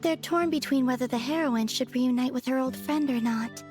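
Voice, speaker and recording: An actress voicing a gentle, wistful young woman in an anime game, soft, earnest and hesitant. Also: sits high, normal speed, over loud music, lightly acted. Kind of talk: anime video game dubbing.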